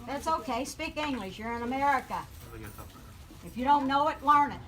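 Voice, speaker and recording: A woman's voice: high-pitched, animated, moderate pace. Older woman ranting in a store. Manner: loud, berating, coarse. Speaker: customer in a store line. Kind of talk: angry ranting in public. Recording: phone video in a store.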